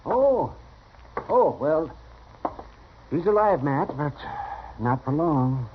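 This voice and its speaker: An actor playing a grave, weary frontier town doctor, blunt and resigned, in a radio western. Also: male voice, a little high, very animated, takes it slowly. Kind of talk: old-time radio western acting. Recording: dull, noisy vintage radio recording.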